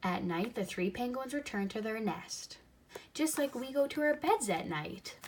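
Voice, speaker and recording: A woman reads a picture book aloud for young children, expressively, pausing to add simple comments of her own. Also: on the high side, lively intonation, a little hiss or hum. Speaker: storybook reader.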